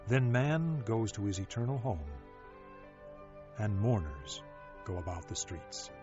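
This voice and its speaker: A man playing a solemn, reflective ancient sage who declaims scripture in a measured, expressive way. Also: fairly low pitch. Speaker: audio Bible reader. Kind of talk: dramatized scripture reading. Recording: dull, lo-fi audio under music. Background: music.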